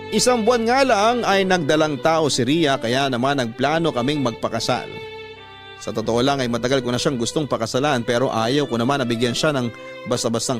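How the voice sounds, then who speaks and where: A man's voice: medium pitch, close to an everyday voice. A man with a Filipino accent playing a devoted, hardworking lover narrating his story in a sentimental radio drama. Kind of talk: first-person scripted radio drama narration. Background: violin music.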